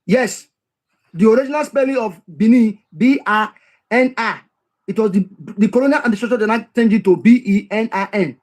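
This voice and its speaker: A man's voice: sits high, brisk, darkish tone. A man reading passages from a history text and explaining them, repeating key phrases for emphasis. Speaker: history commentator.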